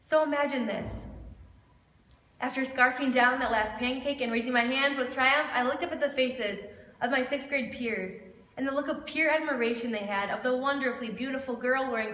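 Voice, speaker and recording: In a reverberant hall with a long echo, a young woman performs slam poetry. Her voice is high-pitched. She speaks in rehearsed, rhythmic phrases, stressing them firmly and pausing on purpose. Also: a bit bright. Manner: emphatic, rhythmic delivery with repeated phrases and deliberate pauses. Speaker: slam poet.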